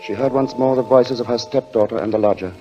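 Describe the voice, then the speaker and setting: An actor playing the grave, measured narrator of a suspenseful 1940s radio thriller. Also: male voice, mid-range voice, dull audio, lightly acted. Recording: old radio drama recording with music.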